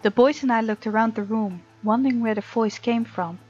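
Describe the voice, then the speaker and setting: A woman's voice: fairly high pitch, fairly quick, a little mellow, breathy edge. A woman hosting a let's play, reading game text aloud and chatting casually as she reacts to the story. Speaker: gaming video host. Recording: muffled lo-fi mic over game music. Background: game music.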